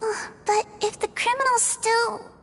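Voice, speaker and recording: A woman voicing a small, gentle creature who works as a head nurse and fusses over everyone's health. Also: extremely high pitch, steady tone, unhurried, slight background noise, exaggerated voice. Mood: caring. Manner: sweet, earnest and motherly. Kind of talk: video game voice acting.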